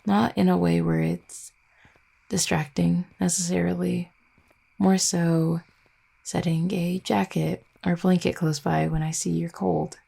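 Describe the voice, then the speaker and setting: A woman's voice: on the low side, little pitch movement, unhurried, gravelly, warm tone, breathy edge, exaggerated voice. A woman playing an affectionate, teasing vampire girlfriend in an intimate roleplay audio, speaking in a tender, conversational way. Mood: doting.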